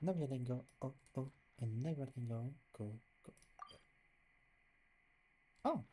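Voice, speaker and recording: A man's voice: medium pitch, unhurried, warm tone. A man chatting casually as a game streamer, reacting aloud and talking with his viewers. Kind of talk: casual chat while playing. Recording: clear game music behind voice.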